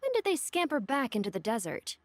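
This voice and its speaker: Fantasy game character, female, quippy, swooping lively pitch, raised voice register. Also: brisk. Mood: puzzled. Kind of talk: video game voice acting.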